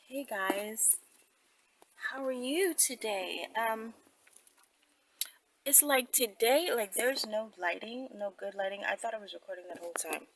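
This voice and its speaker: A woman's voice: a little high, a little slow, long pauses. A woman hosting a video, chatting informally and thinking aloud as she answers viewer requests with rhetorical questions. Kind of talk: casual rambling chat to camera. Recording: distant mic, loud vehicle and street noise.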